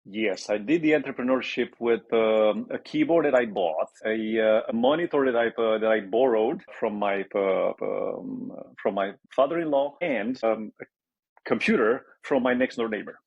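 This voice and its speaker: A man's voice: fairly low pitch, normal speed, creaky, husky, no echo, dull audio. A man in his forties from Romania with a Romanian accent, answering interview questions hesitantly with many fillers and restarts. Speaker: guest entrepreneur.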